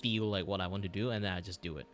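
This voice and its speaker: A man who speaks English as a second language, chatting casually on a livestream in a halting, repetitive way. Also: deep voice, soft background music. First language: not English. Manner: halting, repetitive, animated reactions. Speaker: streamer and animator.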